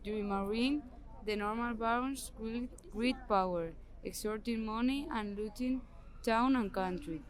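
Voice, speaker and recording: A young woman recites a prepared history text in the first person. Her voice sits a little high as she presents it as a student. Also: unhurried.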